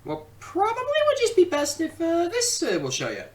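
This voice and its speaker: A woman's voice: very high voice, wildly swooping pitch, normal speed, darkish tone, distant mic. A woman narrating an informal comedic review as a video reviewer, with joking, mock-dramatic asides.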